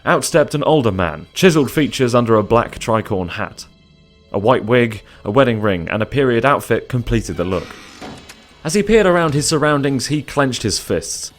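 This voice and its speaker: A man's voice: mid-range voice, lively intonation, moderate pace, faint music behind. A man narrating a scripted audio drama in a dramatic storytelling style.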